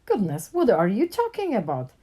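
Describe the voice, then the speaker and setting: A woman's voice: medium pitch, huge pitch swings, moderate pace, mellow, pitched above the performer's own voice. A woman voicing a harried, bewildered female neighbor in a storybook, flustered and emphatic.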